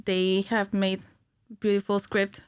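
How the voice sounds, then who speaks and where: A woman's voice: medium pitch, completely flat delivery, slow pace, clean tone, dry recording. A woman in her thirties with a mid-range voice chats as an interview guest. She talks informally, thinking aloud in short bursts. The sound is close and dry, from a studio microphone. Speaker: podcast guest, cinematic animator. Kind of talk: casual interview chat. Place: small indoor podcast studio. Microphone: studio mic on a stand, headphones.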